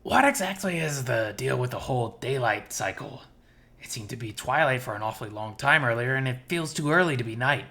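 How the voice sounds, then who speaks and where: A man acts out a young male animal survivor from a furry visual novel. He is earnest and curious, with a hopeful streak, and speaks at a middling pitch. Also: lively intonation, brisk, creaky, sharp tone.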